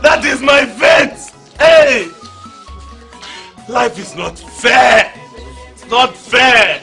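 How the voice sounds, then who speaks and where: A Nigerian widower, played by a man, loud and dramatic, with a high voice and slow, drawn-out delivery. He is bright and forceful in tone, and faint music plays behind him. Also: expressive, heavily acted. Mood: wailing grief. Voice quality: wailing, dramatic outbursts. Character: grieving then selfish Igbo widower, middle-aged man. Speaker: widower husband. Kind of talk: Nollywood drama acting.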